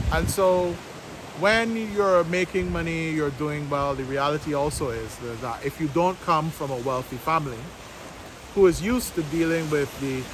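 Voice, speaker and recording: Heavy wind and sea noise surrounds a man in his fifties with a slightly high voice. He explains things informally to camera from outdoors. His delivery is deliberate, broken into short phrases with pauses. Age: 50s. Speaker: vlog host, business owner. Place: outdoors by the sea, west coast of Barbados. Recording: loud wind noise on microphone.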